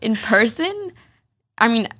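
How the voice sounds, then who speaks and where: A woman's voice: on the high side, sing-song, slow pace, a bit bright. A young woman in her twenties from Atlanta with an American accent, chatting casually as a podcast guest and laughing often while she tells stories. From Atlanta, USA. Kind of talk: casual chat. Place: podcast studio.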